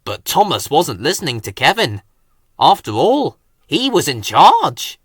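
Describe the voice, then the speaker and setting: A man narrating a children's story in an expressive read-aloud style. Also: a little high, measured pace, slightly rough, dry recording, studio quality.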